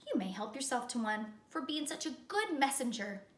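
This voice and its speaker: A woman voicing a brisk, firm, easily exasperated female schoolteacher in a storybook reading. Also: a little high, very animated, fairly bright tone. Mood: approving, firm. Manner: firm, instructing. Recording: echoey room.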